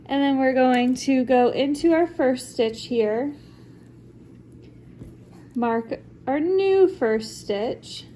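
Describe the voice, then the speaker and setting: A woman's voice: sits high, dark tone, a little hiss or hum. A young woman with a congested voice, chatting her way through a crochet tutorial at home with lots of likes and ums. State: congested from a recent illness. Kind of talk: casual step-by-step craft tutorial. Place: home, at a table.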